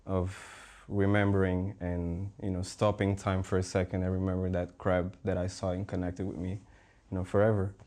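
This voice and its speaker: A young man from Portugal, a visual artist speaking as a panel guest in a relaxed, rambling way with many fillers. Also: deep voice, moderate pace, few pauses. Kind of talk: casual conversational talk.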